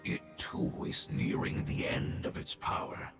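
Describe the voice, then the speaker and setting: An actor playing a dying ancient male creature who speaks in a hushed, gravelly, solemn way in a game cutscene. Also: lightly acted. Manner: solemn, measured farewell. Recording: echoey voice over game music.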